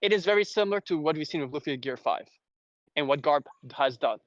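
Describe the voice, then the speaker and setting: A man from Belgium, a native French speaker with an accent to match, hosting a casual fan discussion in a rambling, halting way. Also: a little high, expressive, no echo, highs cut off. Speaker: host.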